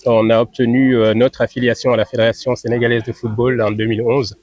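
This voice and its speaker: A man who grew up in France, answering interview questions as a football club president. He speaks in a measured way, pausing often on "euh". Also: mid-range voice, flat delivery, darkish tone, dry recording, lo-fi sound. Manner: measured, many hesitations on "euh".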